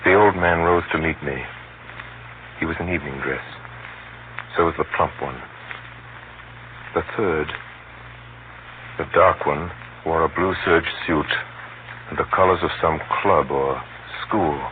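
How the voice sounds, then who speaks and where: An actor playing a determined but self-doubting adventurer, narrating in a confiding, dramatic, conversational 1930s radio style. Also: male voice, rumbling low, a little slow, pauses often. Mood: confiding narration. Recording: muffled, scratchy 1930s radio broadcast with hum.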